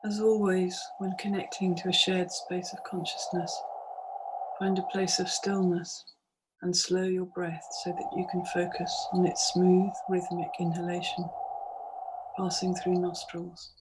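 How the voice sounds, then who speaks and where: A woman leads a guided meditation as scripted, poetic narration. Her pitch ranges very widely as she tells it deliberately and immersively. The recording has clear ambient noise around the voice. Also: level tone. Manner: deliberate, immersive second-person storytelling. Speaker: meditation guide, multimedia artist.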